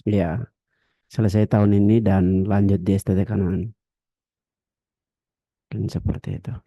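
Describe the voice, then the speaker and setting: A man's voice: fairly low pitch, level tone, measured pace, warm tone, no room sound, highs cut off. A young man from Papua introducing himself to a graduate class over a video call, hesitant and full of fillers. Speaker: graduate student.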